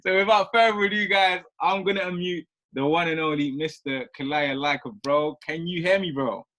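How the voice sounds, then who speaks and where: A man's voice: on the high side, moderate pace, pushed and bright. A man hosting a video call, hyping up the audience and calling out attendees by name. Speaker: host of the call.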